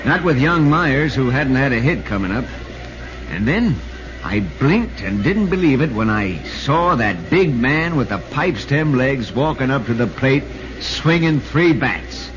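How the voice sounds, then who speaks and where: A man's voice: mid-range voice, animated, a little slow, a bit bright. An American man playing a sportswriter who narrates a ballgame in 1940s radio drama style. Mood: tense, suspenseful. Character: excitable male sportswriter recounting a ballgame. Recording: muffled, noisy 1940s radio recording.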